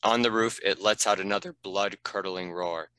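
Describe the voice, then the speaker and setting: Male narrator for script directions, deep and crisp-edged, scripted delivery. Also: steady tone, normal speed, sharp tone, no echo. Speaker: narrator reading stage directions.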